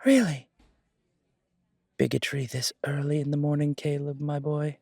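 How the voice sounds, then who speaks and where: A man's voice: fairly high pitch, level tone, unhurried, gravelly, a little mellow, no echo, studio quality, pitched above the performer's own voice. A man voicing a cheerful, fussy pointy-eared male shopkeeper in an audio roleplay, prim and polite with a fluttery stammer. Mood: playful, genteel.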